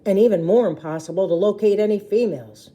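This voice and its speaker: A woman's voice: medium pitch, fast, dark tone, lightly acted. A woman voicing a nasty, bullying man in a storybook, with a mocking, emphatic, sarcastic delivery. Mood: sneering, sarcastic.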